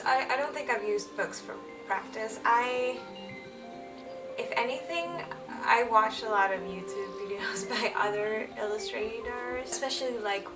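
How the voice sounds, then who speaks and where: A woman's voice: mid-range voice, recorded from a distance, low-quality recording. A young woman whose first language is Finnish, chatting casually and laughing lightly as she answers viewer questions. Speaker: illustrator vlogger. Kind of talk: casual Q&A chat. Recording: voice set back from the mic, music underneath.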